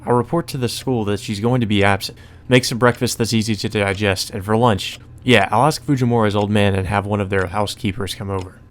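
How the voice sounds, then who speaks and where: A man's voice: lowish voice, steady tone, a little fast. A man hosting a let's play, reading a visual novel aloud and adding casual commentary. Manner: casual, profane asides. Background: music.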